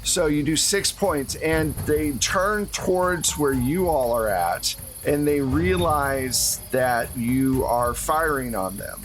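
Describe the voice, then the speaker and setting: A man's voice: mid-range voice, slow, slight background noise. Casual man running a tabletop game. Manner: casual, conversational. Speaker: game master. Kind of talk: narrating a tabletop horror roleplaying game.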